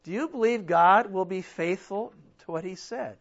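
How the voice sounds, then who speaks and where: High-voiced pastor, strained voice. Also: male voice, normal speed, gravelly, muffled recording. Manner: emphatic, rhetorical questions, swings between loud and soft. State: strained, almost losing his voice. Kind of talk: sermon.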